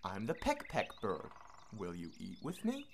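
A chatty little storybook bird , voiced by a woman, gentle and inviting. The singsong rhyme rises and falls freely at an unhurried pace. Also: rumbling low, wildly swooping pitch, creaky, a little hiss or hum, pitched below the performer's own voice, exaggerated voice. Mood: friendly, inviting. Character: friendly, chatty little birds inviting a playmate.

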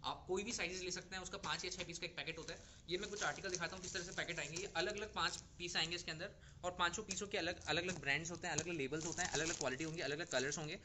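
Fast-talking male wholesale seller. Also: on the high side, fairly even intonation, background noise. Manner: persuasive, reassuring sales patter. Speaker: wholesale seller presenting stock. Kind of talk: product showcase pitch to retailers. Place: clothing warehouse or shop.

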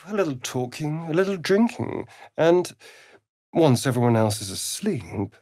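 A man's voice: fairly high pitch, no echo, heavily acted. Sardonic ancient vampire, arch and theatrical, video game style, takes his time, close dry sound. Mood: seductive. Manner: arch, drawling, flamboyant delivery.

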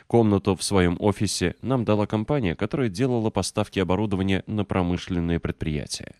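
A man narrating an audiobook in a measured, clearly articulated scripted reading. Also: low-pitched, darkish tone, no echo, clean studio sound.

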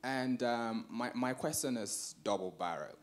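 A man's voice: mid-range voice, moderate pace. A man in his forties from Ghana, asking a question from the audience in a lecture theatre, polite and hesitant with many filler sounds.

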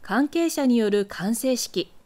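A woman with a fairly high voice narrates archival news footage. She reads from a script in a measured, explanatory manner, enunciating each phrase clearly. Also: expressive, a little mellow.

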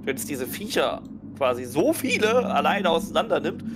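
A man's voice: on the high side, very animated, moderate pace, background noise. A man playing a tabletop game, chatting casually as he talks through the situation. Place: online group call, indoors at home. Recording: music under the voice. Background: music.

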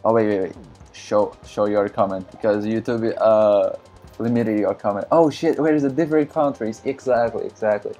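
A man from Brazil whose first language is Portuguese, chatting casually in halting English with many fillers and frequent laughs while streaming a game. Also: lowish voice, warm tone, highs cut off, soft background music. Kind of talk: casual live-stream commentary.

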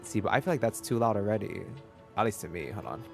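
A man's voice: on the low side, animated, quick pace, gravelly. Playful, slangy young male game streamer. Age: young adult. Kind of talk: casual livestream gameplay chat. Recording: faint game music behind.

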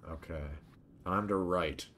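A man's voice: deep voice, slow pace, a bit bright, a little hiss or hum. A man streaming a game with casual commentary, muttering to himself in short fragments. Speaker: gaming streamer. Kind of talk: casual let's-play commentary. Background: faint game music.

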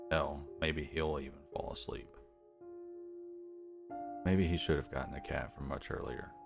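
A young man with an extremely low voice, thick with vocal fry, reads a visual novel aloud as he plays. He slips between story narration and casual streamer asides. Music plays clearly behind him. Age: young adult. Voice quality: gravelly. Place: small room at home, indoors. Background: game music.

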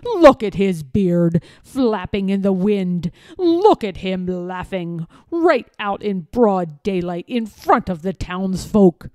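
A woman's voice: medium pitch, expressive, slow pace, few pauses, darkish tone, no echo, clean studio sound. A woman voicing a scowling, pompous lord from a storybook who despises fun and speaks with disapproval. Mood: disgusted.

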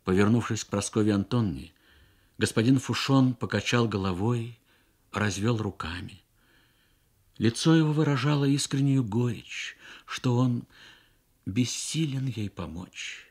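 A man narrating a literary story for radio in a measured, expressive manner with deliberate pauses. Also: mid-range voice.

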